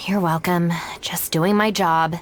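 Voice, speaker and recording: A woman's voice: fairly low pitch, steady tone, slow, slight background noise. A woman voicing a guarded, no-nonsense young woman in a video game, reserved and matter-of-fact. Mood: reserved, weary.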